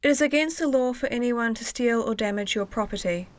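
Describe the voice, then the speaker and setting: Higher-voiced woman reading civic facts. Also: a little fast, soft background music. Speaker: narrator. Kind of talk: scripted information narration.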